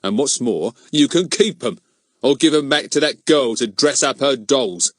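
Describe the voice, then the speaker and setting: A man's voice: mid-range voice, lively intonation, normal speed, no room sound, studio quality, voice pushed up, lightly acted. A man voicing a smug, rude village policeman in a blustering, emphatic manner for a children's storybook. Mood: scornful triumph. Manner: blustering, emphatic, uneducated grammar.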